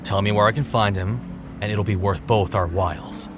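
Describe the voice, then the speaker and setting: An actor playing a gruff, guarded fighter in an audio drama, a man who talks in curt, deadpan lines. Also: fairly low pitch, normal speed, noisy background, lightly acted. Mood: calm, negotiating.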